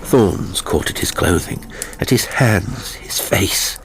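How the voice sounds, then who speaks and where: A man reading a horror story as an audiobook narrator, in measured, suspenseful phrases that often fall to a hush. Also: on the low side, expressive, vocal fry, noisy background. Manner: measured, suspenseful, breaking into short weighted phrases. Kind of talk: scripted dramatic horror narration. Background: faint music.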